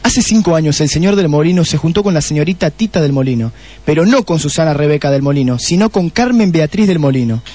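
A man's voice: a little high, expressive, warm tone, husky. A man playing a smug, theatrical police detective with an Argentine accent in a comedic radio drama. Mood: triumphant, explaining. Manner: self-satisfied, explaining with dramatic pauses.

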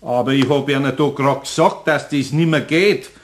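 A man's voice: mid-range voice, normal speed, lightly acted. A man playing a good-natured, grumbly older master carpenter with a Bavarian accent in a children's radio play. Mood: exasperated. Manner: homely, plain-spoken, grumbling.

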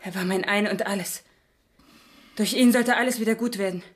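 An actress in a radio play playing an unhinged, possessive murderess, taunting and dramatic, turning from sweet to venomous. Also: female voice, mid-range voice, moderate pace, slight background noise, lightly acted. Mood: wounded, yearning. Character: unhinged murderess, possessive, mocking, chillingly sweet about killing.

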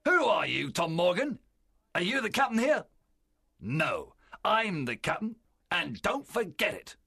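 A man voicing a fierce, cunning pirate captain with a strained, gravelly rasp and theatrical, commanding delivery in a storybook reading. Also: high voice, very animated, slow, fairly bright tone, no echo, studio quality, pitched above the performer's own voice, heavily acted. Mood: defiant, menacing. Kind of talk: dramatized audiobook character voice.

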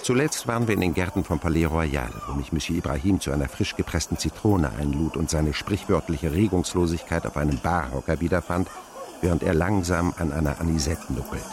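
A man's voice: a bass voice, fairly quick, a crowd in the background. An actor playing a wry, reflective male narrator who recalls his boyhood in a radio play. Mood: wry, fond.

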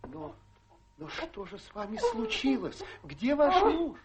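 An actor playing a gullible, gallant gentleman back from India in a radio play, blustering, agitated and chivalrous. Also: extremely high pitch, huge pitch swings, takes it slowly, crowd noise, heavily acted. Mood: alarmed. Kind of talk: theatrical radio play acting.

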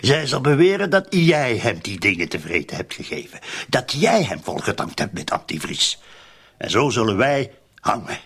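Cynical Flemish murder accomplice, radio drama. Husky voice, very animated delivery, streetwise and pressing. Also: male voice, mid-range voice, moderate pace, exaggerated voice. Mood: ominous. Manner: insistent, colloquial, spelling things out.

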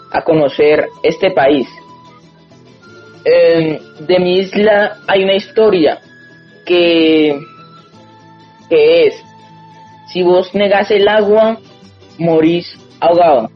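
A man from Venezuela gives a hesitant personal testimony on community radio, often restarting his sentences. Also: fairly high pitch. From Venezuela, Margarita island. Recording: dull lo-fi recording with music under.

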